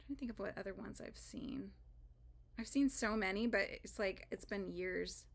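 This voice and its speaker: A woman's voice: medium pitch, moderate pace, vocal fry. A woman hosting a live crochet stream, chatting casually and rambling with frequent fillers and laughs as she works. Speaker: crochet livestream host.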